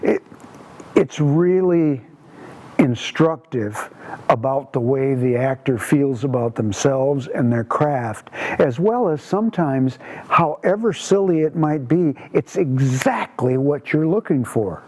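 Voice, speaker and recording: Thoughtful male interviewee, slow, conversational. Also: medium pitch, animated, a little mellow. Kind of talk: documentary interview.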